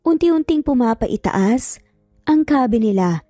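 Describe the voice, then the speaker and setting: A woman's voice: fairly high pitch, lively intonation, a little slow, dark tone, studio quality. A woman narrating a scripted dramatic story as a storyteller. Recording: roomy echo, faint background music. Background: faint music.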